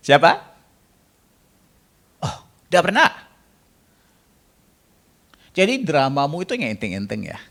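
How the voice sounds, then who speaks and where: A man's voice: on the high side, huge pitch swings, slow pace, gravelly, pauses often, fairly bright tone. A man preaching a sermon in a jokey way, bantering with the congregation and mixing in English phrases. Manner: jokey, banters with the congregation and asks them to raise hands.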